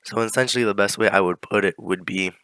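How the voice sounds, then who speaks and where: A teenage boy giving a casual class presentation, hoarse from a flu, with many fillers and frequent throat clearing. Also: fairly low pitch, steady tone, fast, sharp tone, no room sound. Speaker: student presenter.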